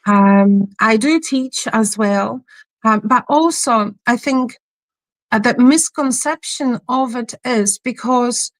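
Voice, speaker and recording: Slow hesitant Polish-accented woman. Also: a little high, no room sound. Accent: Polish with a Scottish tinge. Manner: hesitant, many ums and uhs. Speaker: guest, spiritual healer. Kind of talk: interview guest.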